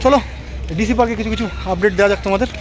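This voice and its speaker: High-pitched man vlogging outdoors. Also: very fast. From India. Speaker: travel vlogger and host. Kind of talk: casual travel vlog narration and chat. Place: outdoors, park and roadside.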